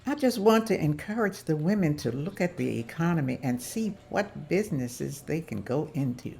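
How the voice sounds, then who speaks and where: A woman's voice: deep voice, expressive, normal speed, creaky, a little mellow, a little hiss or hum. A woman at a table read playing a persuasive businesswoman who rallies other women to organize. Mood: encouraging. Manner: rallying, persuasive. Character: woman leader and businesswoman, encouraging.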